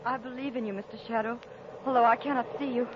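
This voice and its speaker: A woman playing a weak, earnest young rescued victim, speaking haltingly in 1930s radio drama style. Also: fairly high pitch, steady tone, lightly acted. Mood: earnest, shaken. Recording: noisy, muffled 1930s radio recording.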